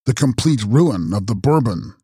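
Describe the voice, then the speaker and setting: A man voicing a mysterious, ageless male count who makes grave, measured prophecies in an audiobook. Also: mid-range voice, expressive, dark tone, breathy edge, dry recording, studio quality. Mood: prophetic. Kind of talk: audiobook character reading.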